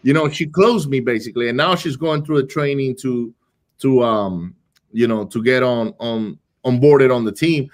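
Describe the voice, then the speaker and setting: A man from Venezuela, a business owner, talking casually in an interview, quick and animated, often restarting and repeating words. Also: medium pitch. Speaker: real estate wholesaling business owner.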